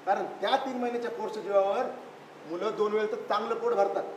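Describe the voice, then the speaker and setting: A man's voice: high-pitched, steady tone, fast talker, close to an everyday voice. A man playing a pragmatic, argumentative elder brother in a live stage play, matter-of-fact and insistent. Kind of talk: live one-act stage play acting. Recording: echoey stage recording, faint noise.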